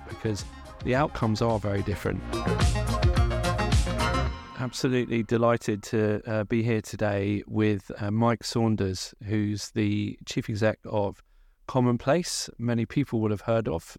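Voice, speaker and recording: Low-ish gravelly guy hosting, lots of uh and restarts, faint music under him. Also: darkish tone, dry recording, studio quality. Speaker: interview host. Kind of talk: conversational interview.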